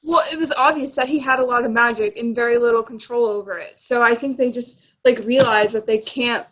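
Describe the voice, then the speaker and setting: A young woman with a distinctly high voice co-hosts a book discussion. Her delivery is casual and conversational, like talk between friends. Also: steady tone, brisk, a bit bright, a little hiss or hum, poor audio. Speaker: book discussion show co-host.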